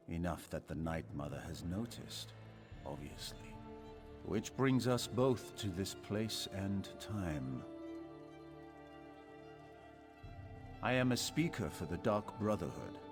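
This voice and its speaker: An actor playing a sinister, solemn male recruiter for an assassin cult in a video game, with theatrical, silky menace. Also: deep voice. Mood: menacing, appraising.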